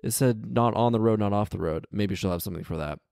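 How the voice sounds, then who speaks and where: A man's voice: fairly low pitch, quick pace, vocal fry, a little mellow, no room sound, clean studio sound. A man hosting a story podcast, giving casual comedic commentary in an incredulous, wry, slangy way with emphatic repeats. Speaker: podcast host reading and riffing on a fairy tale.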